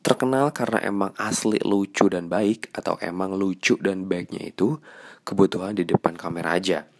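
A man's voice: low-pitched, fairly even intonation, normal speed. A young man with a stuffy nose, hosting a casual, slangy monologue podcast in a confiding tone. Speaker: radio announcer and podcast host.

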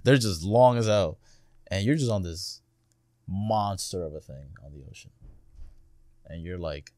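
A man's voice: low voice, unhurried, darkish tone, no room sound. A young adult man with an American accent chatting casually about video games, swearing freely and acting out imagined lines in loose slang.

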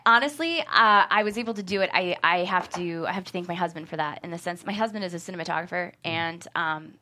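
Woman writer-director in an interview, super bright voice, chatty and animated, acts out little quotes. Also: fairly low pitch, fast talker. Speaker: guest screenwriter and director. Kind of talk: casual interview chat.

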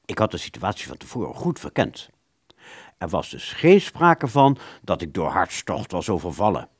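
Roguish male musician: very low, highly animated, theatrical, self-satisfied radio drama storyteller. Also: moderate pace, husky, no echo, clean studio sound, exaggerated voice. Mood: self-pitying, smug. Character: roguish, self-satisfied male clarinettist recounting his exploits. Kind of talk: radio play storytelling in the first person.

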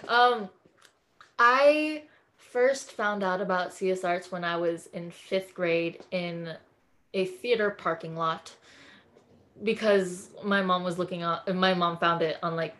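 A woman's voice: fairly low pitch, slow, fairly bright tone. Woman guest gushing away, earnest, casual podcast chat, from california. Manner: effusive and earnest.

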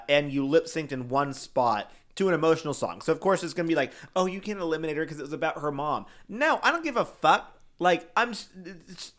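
A man co-hosting a casual review chat, blunt and animated, swearing freely and slipping into mocking quoted voices. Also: a little high. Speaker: podcast co-host reviewing a TV show.

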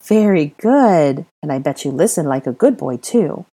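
Woman acting a kindly, coaxing older mother, husky. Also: low voice, lively intonation, fairly quick, warm tone, full character voice. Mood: praising. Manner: kind, coaxing.

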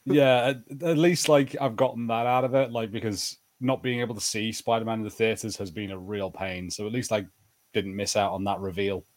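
A man's voice: fairly low pitch, fairly even intonation, fairly quick. A man co-hosting a podcast, giving his opinions in casual pop-culture banter. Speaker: podcast co-host.

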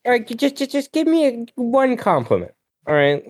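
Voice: mid-range voice, wildly swooping pitch, brisk, a little mellow, no echo, studio quality. A podcast co-host chatting casually, in a playfully indignant, pleading way.

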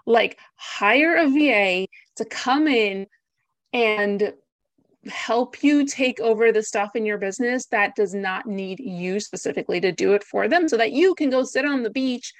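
A woman's voice: mid-range voice, no echo. Chatty American woman on a dry call. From United States. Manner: chatty, upbeat, with frequent "like" and "right?". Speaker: guest. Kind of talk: podcast interview.

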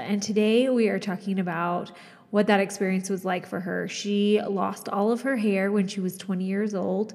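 A woman's voice: mid-range voice, darkish tone. A woman hosts a casual podcast interview. She talks in an effusive, friendly way. Her voice carries a long reverb tail. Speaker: podcast host.

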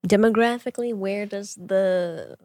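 A woman's voice: medium pitch, unhurried, darkish tone, no room sound. A young woman co-hosting a podcast, chatting casually, laughing easily and answering with dry comebacks. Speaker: podcast co-host. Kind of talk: casual chat.

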